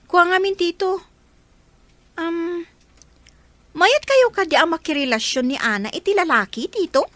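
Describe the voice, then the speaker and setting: A woman's voice: very high voice, lively intonation, normal speed, pauses often, a bit bright, dry recording. A woman playing a whiny, jealous young daughter in a radio drama, plaintive and insistent. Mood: tattling. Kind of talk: Filipino radio drama acting.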